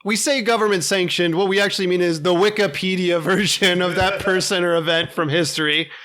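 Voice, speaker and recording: Bright, higher-pitched man, irreverent podcast host. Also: fairly even intonation, a little fast. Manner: irreverent, sweary, joking. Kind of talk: casual comedic group chat. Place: in-person group recording at a table.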